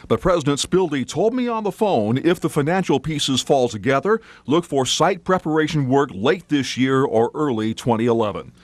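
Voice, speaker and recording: A man's voice: medium pitch, no room sound. An American man narrating a scripted TV news report as a field reporter.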